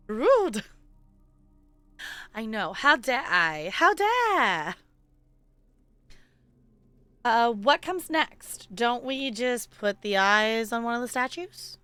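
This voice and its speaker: A woman's voice: fairly high pitch, bright, forceful tone, no room sound. A woman livestreaming a game with casual, playful commentary, chatting and thinking aloud as she plays. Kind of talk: casual live gaming commentary.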